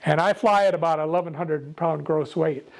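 An older man with a loud voice gives a casual, jokey talk to a club meeting, speaking without a microphone. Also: fairly high pitch, moderate pace, vocal fry, rough recording. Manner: jokey, self-deprecating, chatty with laughs. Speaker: guest speaker.

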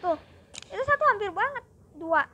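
A woman's voice: very high-pitched, animated, normal speed, sharp tone, dull audio, slight background noise. A young woman with an Indonesian accent giving casual let's play commentary as a gaming streamer.

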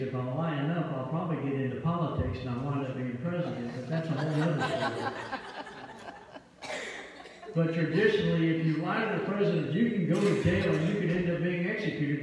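A middle-aged pastor with a Midwestern American accent, preaching a sermon with emphatic delivery in a church sanctuary, using a handheld mic. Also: male voice, a little high, a little fast, a little hiss or hum. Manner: emphatic preaching with wide gestures, rising to loud peaks. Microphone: handheld mic, far camera. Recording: echoey hall, distant and dull.

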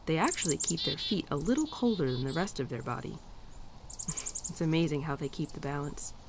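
A woman playing a gentle, teasing winter spirit in a girlfriend ASMR roleplay, speaking softly and tenderly, often dropping to a near-whisper. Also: low-pitched, big pitch swings, moderate pace, slight background noise. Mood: tender, fond. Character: gentle, teasing female winter spirit, affectionate old friend. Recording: birdsong and outdoor ambience behind.

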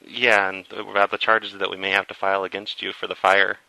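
Halting man with a very bright voice. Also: deep voice, level tone, gravelly, no echo, low-quality recording. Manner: halting, hesitant. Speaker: prank caller posing as a dealership employee. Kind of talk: phone conversation, improvised prank.

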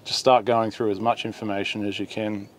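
A man's voice: fairly low pitch, level tone, brisk. An Australian man in his thirties giving an informal, plain-spoken instructional presentation, explaining things step by step. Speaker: volunteer coast guard instructor presenting a video. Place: boat on water, open garage, radio room. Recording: roomy echo, clear ambient noise.

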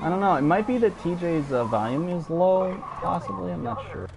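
A young man streaming a game with friends, chatting casually and yelling and swearing when startled. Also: high voice, expressive, brisk, creaky, background noise. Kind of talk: casual gameplay chat over voice chat.

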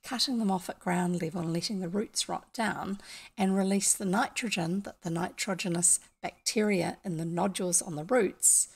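A woman's voice: lowish voice, moderate pace, creaky, husky. An older woman from New Zealand with a New Zealand accent, chatting casually on a home stream, rambling and explaining with many asides. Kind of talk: casual storytelling chat. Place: small home room, indoors.

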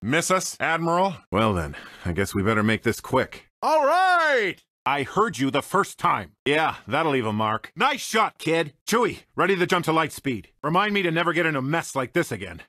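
A man's voice: fairly high pitch, huge pitch swings, moderate pace, hardly any pauses, bright, forceful tone, no echo, heavily acted. An American man playing a cocky, wisecracking space smuggler and pilot in a video game, with a dry, sardonic, swaggering manner. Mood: wry, cocky. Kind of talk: video game character voice clips. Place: dry studio recording.

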